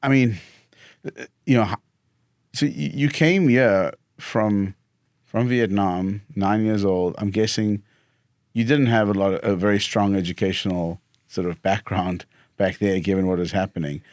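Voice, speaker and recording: Low-voiced male host, halting questions. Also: fairly even intonation, darkish tone, no echo, clean studio sound. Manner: halting, restarts questions, affirming murmurs. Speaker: podcast host. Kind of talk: interview questions.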